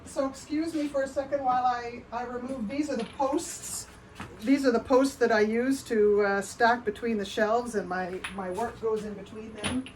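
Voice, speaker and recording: A Canadian woman with a fairly high voice hosts an informal craft livestream. She talks in a chatty, meandering way, often dropping a sentence halfway and picking up again. There is noticeable noise behind her voice. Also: steady tone, normal speed, poor audio. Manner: chatty and rambling, often breaking off mid-sentence. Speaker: potter hosting a livestream. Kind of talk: casual live show-and-tell of pottery.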